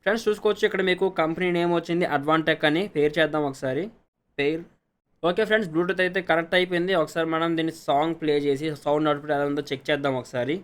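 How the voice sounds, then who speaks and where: A man's voice: a little high, fairly even intonation, racing, fairly bright tone, clean tone. A man giving a casual, step-by-step product review, repeating set phrases and mixing in English words. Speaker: product reviewer, channel host. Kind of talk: casual unboxing and review narration.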